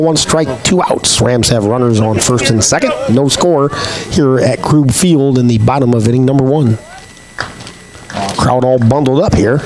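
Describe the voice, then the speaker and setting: A man calling a local baseball game play by play, his voice scratchy and hoarse, chatting folksily between pitches. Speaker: play-by-play announcer.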